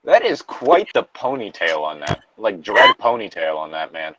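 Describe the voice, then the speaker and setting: A man's voice: low voice, huge pitch swings, moderate pace, heavy background noise. A man reading fan fiction aloud with casual commentary and wry, joking asides, recorded close on slightly lo-fi audio. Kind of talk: casual comedic commentary and read-along.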